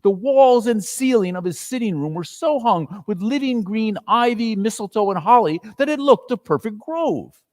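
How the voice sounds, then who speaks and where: Male narrator for dramatic storybook reading: very animated intonation, higher-than-average pitch. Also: normal speed, big-room sound.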